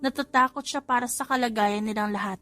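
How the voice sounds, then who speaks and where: High-voiced Filipino woman narrating a romance story. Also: steady tone, fairly quick, fairly bright tone, faint music behind. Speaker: audiobook narrator.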